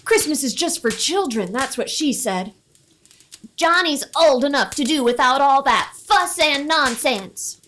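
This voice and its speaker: A woman's voice: high-pitched, lively intonation, unhurried, a bit bright, slight background noise. A woman playing a young orphaned runaway boy, sulky and hurt, in an old-time Western radio play.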